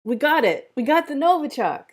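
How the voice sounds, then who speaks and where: A woman's voice: high-pitched, lively intonation, moderate pace. A woman playing an urgent, bossy police agent at a live script table read. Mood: excited. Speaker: actor reading a part at a table read.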